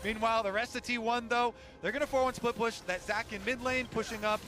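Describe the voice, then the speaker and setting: Esports caster, male, high-pitched, analytical live game commentary, loud music bed. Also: steady tone, fairly quick. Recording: loud music over broadcast audio.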